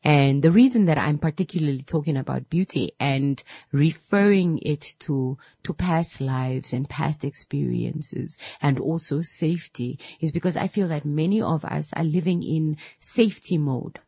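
A woman's voice: low voice, moderate pace, mellow, slightly rough, no echo, studio quality. A woman, hosting a reflective motivational talk and taking calls, often checking in with "right?". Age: 30s.

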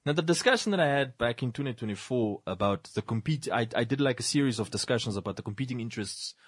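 A man's voice: mid-range voice, lively intonation, quick pace, dry recording. A man in casual discussion lays out his case as a chain of paired points that climb in energy. His voice sits in an ordinary middle register. The recording is close and dry, with no room sound. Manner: lists points in building sequences, then drops to a quiet wrap-up. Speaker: talk show co-host.

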